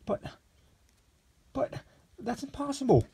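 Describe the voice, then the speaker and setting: A man's voice: a little high, very animated, fairly quick, vocal fry, dark tone, husky, voice pushed up, heavily acted. A man playing a gushing naive young gourmet. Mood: bewildered. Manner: gushing, breathless exclamations.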